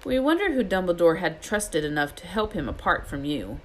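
A woman's voice: fairly low pitch, big pitch swings, normal speed, a little hiss or hum. A woman voicing a clever, practical young schoolgirl with an earnest, coaxing manner in a storybook reading. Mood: coaxing, flattering.

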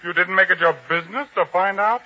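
Menacing officer, commanding, ambitious, very sharp forceful voice, old radio drama, dull recording. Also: male voice, high-pitched, a little fast, exaggerated voice. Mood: demanding. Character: ambitious, domineering male palace officer hunting three kings.

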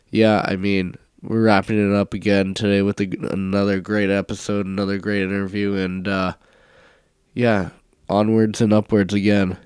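A young man co-hosting a casual sports podcast, stuffed up from a cold and talking in a relaxed, rambling way. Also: lowish voice, smooth, dry recording, studio quality. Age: young adult. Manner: relaxed, rambling, many fillers. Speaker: podcast co-host, university football player.

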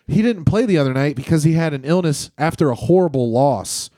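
A man's voice: fairly high pitch, expressive, brisk, warm tone, dry recording. Blunt, swearing podcast man. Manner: blunt, profane, rambling. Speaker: podcast co-host. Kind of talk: casual sports chat.

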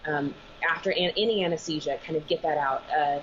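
A woman's voice: low-pitched, a little fast. A woman veterinarian speaking as an interview guest over a video call, earnest and thinking aloud as she talks. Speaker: veterinarian and animal massage school director. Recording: muffled video call audio with faint noise.

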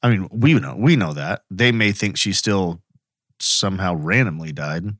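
Male podcast co-host. Somewhat low register, informal chatty delivery, dry close recording. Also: expressive, normal speed, a bit bright, dry recording. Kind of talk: casual true crime podcast chat.

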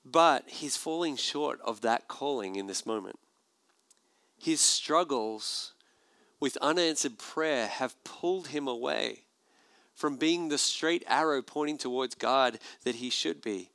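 Gravelly-voiced male pastor preaching. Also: medium pitch, slow, no room sound. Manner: conversational, animated preaching with asides. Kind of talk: sermon.

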